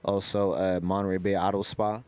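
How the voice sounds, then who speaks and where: Low, even-voiced man, clean and smooth. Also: fairly quick. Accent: American. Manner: slangy, informal. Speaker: podcast host. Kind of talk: casual chat and sponsor shout-outs.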